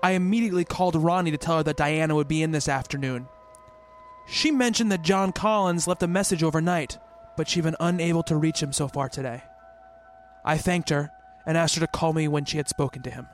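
An actor playing a concerned, skeptical doctor who narrates his investigation in a measured, formal radio play style. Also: fairly high pitch, natural voice.

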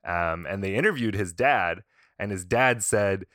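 A man's voice: low-pitched, measured pace, a bit bright, no room sound, studio quality. A man hosting a hockey podcast, chatting casually with a guest and laughing often as he tells stories. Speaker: podcast host. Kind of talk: casual chat.

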